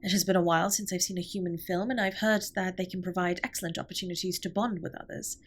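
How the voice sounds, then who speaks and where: A woman's voice: lowish voice, steady tone, fast talker. A woman playing a formal, reserved but tender alien spaceship captain in a scripted comfort audio roleplay, speaking with precise, stilted politeness. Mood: formal, curious.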